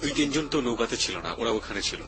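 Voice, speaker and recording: A man with a Bangladeshi Bengali accent hosts a radio interview in a grave, deliberate, measured manner. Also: medium pitch, expressive. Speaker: radio show host. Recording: music under the voice. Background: background music.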